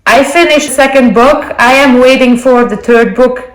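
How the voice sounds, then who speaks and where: A woman's voice: sits high, moderate pace. A woman from Belgium, a Dutch native speaker with a Belgian Dutch accent, chatting casually and apologetically to camera from her living room floor. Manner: apologetic, gushing, searching for words. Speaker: book vlogger. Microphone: earbuds. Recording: roomy home audio on earbuds.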